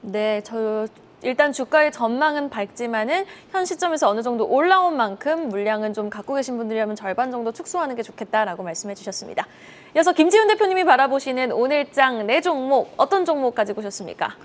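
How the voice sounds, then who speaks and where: A woman's voice: on the high side, expressive, fast talker. A woman hosting a market news broadcast, putting questions to guests and summing up in a polished, courteous way. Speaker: news anchor, host. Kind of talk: broadcast interviewing and summarizing.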